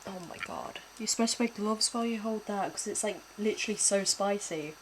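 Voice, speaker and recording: A woman's voice: mid-range voice, fairly quick, slight background noise, low-quality recording. A young British woman chatting casually while reacting to videos on a stream, laughing often and swearing offhand. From Britain.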